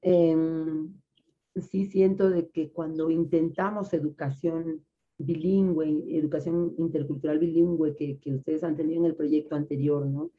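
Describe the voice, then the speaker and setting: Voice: mid-range voice, steady tone, measured pace. An interviewer asking questions in a chatty, hesitant way with many fillers. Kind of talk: conversational interview. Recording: muffled lo-fi recording.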